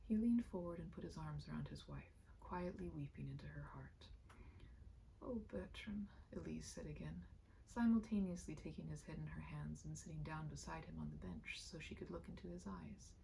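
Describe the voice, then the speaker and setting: A woman narrating a short story in a dramatic, hushed read-aloud style that sometimes nears a whisper. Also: low-pitched, brisk, vocal fry, slight background noise, poor audio.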